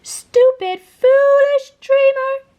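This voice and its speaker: A woman's voice: extremely slow, dark tone, exaggerated voice. A woman voicing a cruel, mocking evil aunt who taunts in a storybook. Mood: taunting. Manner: shrill, mocking.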